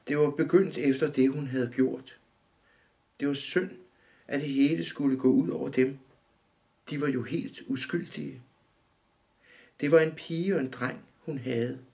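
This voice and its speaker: Fifties guy reading his story out loud, gravelly, steady, mid pitch, dim room. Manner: calm, measured reading, often looking down at the text. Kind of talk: literary reading.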